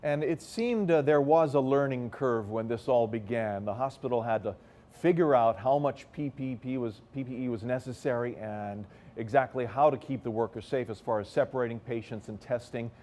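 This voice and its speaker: Male news anchor, measured and conversational. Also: medium pitch, a little mellow. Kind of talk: news interview.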